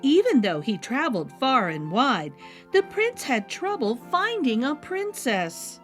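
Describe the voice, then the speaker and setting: Female storybook narrator: very animated and playful, unhurried, with music underneath. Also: high voice. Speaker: storybook read-aloud host. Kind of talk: expressive children's story narration.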